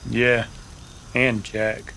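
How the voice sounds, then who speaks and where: A man's voice: medium pitch, monotone, extremely slow, creaky, pushed and bright, heavily acted, poor audio. A man playing an easygoing but guarded cowboy rancher with laconic, folksy phrasing in a Western radio drama. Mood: evasive.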